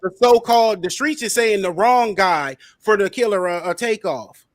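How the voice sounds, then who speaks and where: A man's voice: high-pitched, moderate pace, no echo, clean studio sound. A man on a casual discussion panel, talking in an opinionated and emphatic way. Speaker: talk show panelist.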